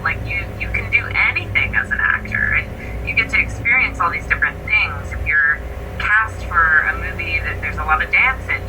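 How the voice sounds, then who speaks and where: A woman speaking enthusiastically and casually as an actor being interviewed. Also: lowish voice. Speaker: guest actor. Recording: distant mic with clear background noise.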